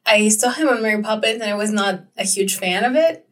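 A young woman co-hosting a podcast with a slightly raspy voice, chatting in an easygoing, rambling way. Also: mid-range voice, a little fast, distant mic. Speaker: podcast co-host. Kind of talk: casual chat.

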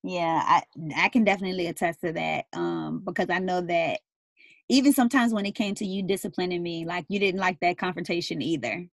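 A young woman in casual conversation with her mother, talking thoughtfully with many pauses and fillers. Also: fairly low pitch, vocal fry, dry recording. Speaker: daughter interviewing her mother.

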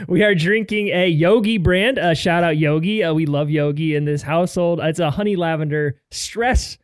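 A man's voice: on the high side, moderate pace, smooth, dry recording. A man co-hosting a casual podcast while congested from a cold, telling stories in a jokey, rambling way. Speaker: podcast co-host.

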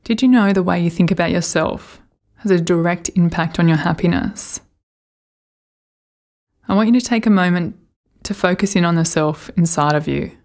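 Low, creaky-voiced woman guiding meditation. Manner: gentle, soothing, unhurried instruction with pauses. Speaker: meditation guide. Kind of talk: guided meditation.

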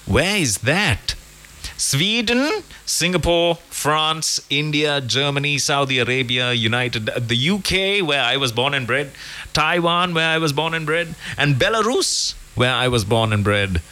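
A man's voice: medium pitch, bright, forceful tone. A man hosting a morning radio show, chatting casually and reading sponsor messages with a joking, rambling manner. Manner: jokey, rambling, self-correcting. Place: radio studio.

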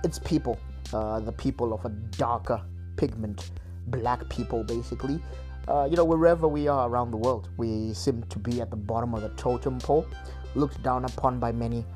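A man's voice: mid-range voice, moderate pace, darkish tone, soft background music. A man from Zimbabwe hosting a solo podcast, talking earnestly with many restarts and repeated words. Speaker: podcast host. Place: United Kingdom.

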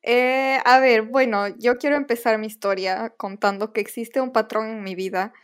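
A woman's voice: fairly high pitch, steady tone, moderate pace, smooth. Giggly young woman co-host. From Ecuador. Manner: giggly, chatty, frequent fillers. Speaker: podcast co-host. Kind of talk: casual chat.

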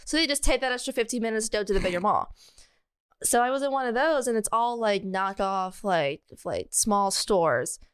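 Lively, giggly American woman chatting. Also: medium pitch, moderate pace, clean tone. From Maryland, United States. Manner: giggly, rambling. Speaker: podcast co-host. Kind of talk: casual comedic podcast chat.

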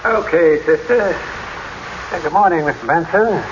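An actor playing a streetwise, deferential private detective in an old-time radio drama, matter-of-fact, with an American accent. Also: male voice, on the high side, moderate pace, lightly acted. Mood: casual, breezy. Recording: muffled, noisy old radio recording.

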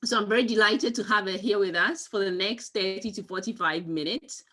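A woman hosts a monthly conversation series over a video call, introducing her guest with effusive praise. Her pitch sits mid-range, and she takes her time, drawing out her words. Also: fairly quick, fairly bright tone. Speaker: host and company CEO. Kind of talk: hosted interview.

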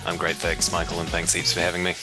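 A man from New Zealand with a New Zealand accent, a startup co-founder and CEO explaining things in a relaxed way as a podcast guest. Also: low-pitched, fast talker, music in the background. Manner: relaxed explaining, uses reckon and heaps. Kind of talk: podcast interview.

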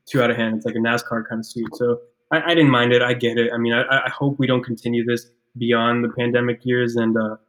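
A man's voice: medium pitch, flat delivery, quick pace, a bit bright, poor audio. A man from Ecuador, co-hosting a casual podcast, speaking thoughtfully with frequent restarts and repeated words. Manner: thoughtful, stammers and restarts often. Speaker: podcast co-host, clothing brand founder. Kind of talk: casual chat.

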